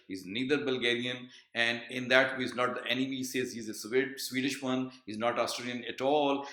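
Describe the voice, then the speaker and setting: A man's voice: medium pitch, sharp tone. A male literature professor explaining a play in a lecture, with frequent fillers and restarts. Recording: echoey room.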